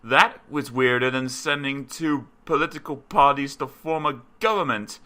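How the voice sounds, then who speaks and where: A man's voice: mid-range voice, moderate pace, pushed and bright, pitched above the performer's own voice, close to an everyday voice. A man voicing a grumpy, sarcastic male cartoon character who grumbles dryly and is easily exasperated. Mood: dry, confused.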